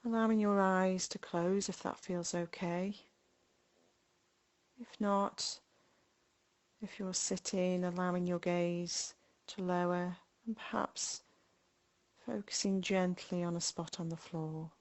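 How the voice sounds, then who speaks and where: A woman guiding a meditation in a gentle, unhurried manner, speaking in short phrases with long pauses between them. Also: on the low side, fairly even intonation. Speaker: meditation guide. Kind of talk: guided body scan meditation.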